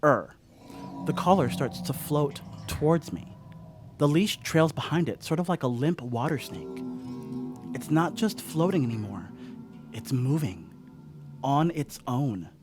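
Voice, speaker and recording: An actor playing a rattled, rambling stoner dog sitter in a radio play monologue, telling a story conversationally with wry asides. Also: male voice, a little high, lively intonation, a little slow, long pauses, over music. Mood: rambling, uneasy.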